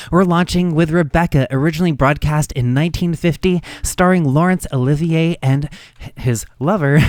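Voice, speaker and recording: Higher-voiced man hosting a radio show. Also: darkish tone, no room sound, clean studio sound. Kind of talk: scripted show introduction.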